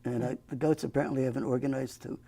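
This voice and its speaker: Gravelly older man hosting a talk show. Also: mid-range voice, flat delivery, fast, dark tone. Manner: folksy, joking asides. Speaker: host. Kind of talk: local access TV talk show.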